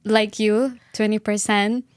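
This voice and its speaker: A woman's voice: mid-range voice, level tone, slow, no room sound, studio quality. A woman in her twenties from Malaysia, talking casually and informally as a podcast guest. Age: 20s. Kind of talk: casual conversation.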